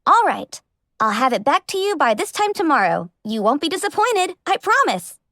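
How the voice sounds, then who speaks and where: Casting: woman as young pony apprentice for an audiobook, high voice, earnest and easily flustered. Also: sing-song, normal speed, a bit bright, dry recording, studio quality, full character voice. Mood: eager. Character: eager, flustered young mare apprentice technician.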